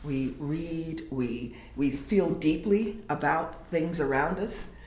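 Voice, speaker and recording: A woman poet reading her verse slowly and dramatically, sinking to whispers at times, then talking casually about her writing. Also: low-pitched. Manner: slow, dramatic reading with hushed whispered lines. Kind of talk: poetry reading and casual interview. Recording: echoey room.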